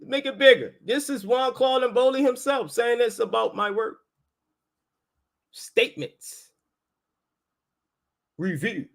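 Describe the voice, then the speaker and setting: A man's voice: very high voice, slow pace, creaky, long pauses, a bit bright. A man with a Baltimore accent hosting a casual talk, explaining things in an insistent, colloquial way. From Baltimore, United States. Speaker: host, linguistics teacher. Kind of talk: casual live talk with debate.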